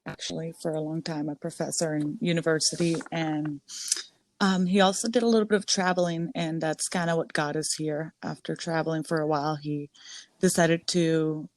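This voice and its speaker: A woman's voice: low voice, steady tone, normal speed. Chatty young woman from Costa Rica. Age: 20s. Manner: chatty, many ums and restarts. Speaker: guest, salon owner. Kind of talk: casual interview.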